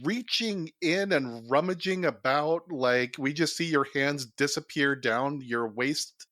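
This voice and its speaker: A man's voice: a little high, takes it slowly, fairly bright tone, no room sound, studio quality. A man playing in a tabletop game, bantering and joking in a casual way. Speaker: player in a tabletop game podcast.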